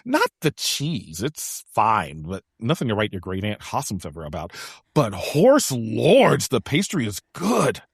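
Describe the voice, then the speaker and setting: A woman playing a theatrical, excitable, food-loving adventurer with a flowery, melodramatic way of talking in a sci-fi audio drama. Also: extremely low pitch, measured pace, vocal fry, husky, no room sound, clean studio sound, exaggerated voice. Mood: excited. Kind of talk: science fiction audio comedy drama.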